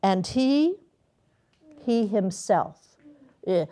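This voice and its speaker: A woman reading a children's story aloud in an expressive, theatrical way, with dramatic emphasis and long pauses. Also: medium pitch. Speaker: guest storyteller and academic dean. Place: elementary school classroom with children.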